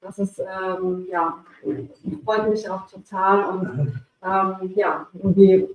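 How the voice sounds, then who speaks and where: A woman's voice: medium pitch, fairly even intonation, slow, dull audio. Enthusiastic woman far from the mic. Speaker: voice narrator of a children's story. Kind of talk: speech at a live event. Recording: echoey room, mic at a distance.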